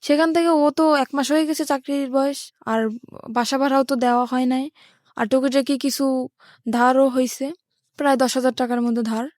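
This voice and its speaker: A woman's voice: high-pitched, flat delivery, normal speed, a little mellow, no room sound, studio quality. A woman from rural Bangladesh with a Bangladeshi accent, telling her life story step by step in a plain-spoken way as an interview guest. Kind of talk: personal life-story narration in a radio interview.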